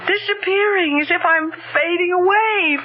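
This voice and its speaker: Anguished, fragile wife, old radio. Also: female voice, very high voice, slight background noise. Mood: frightened, despairing. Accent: American. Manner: halting, earnest, drawn-out dramatic delivery. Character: anguished, neglected wife who feels herself fading away. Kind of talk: old-time American radio drama acting. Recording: dull old radio broadcast with music.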